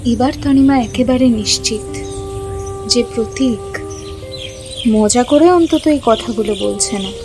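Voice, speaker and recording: A woman's voice: a little high, a little slow, long pauses. A woman narrating a scripted audio story. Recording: music under the voice.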